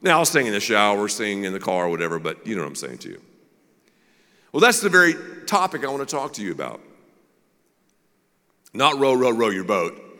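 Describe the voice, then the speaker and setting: A man pastor preaches openly and pokes fun at himself. His voice is bright and swings through a lively range of pitch. A long reverb trails every phrase. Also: brisk, long pauses. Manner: candid, self-deprecating storytelling. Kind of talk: sermon with a personal story.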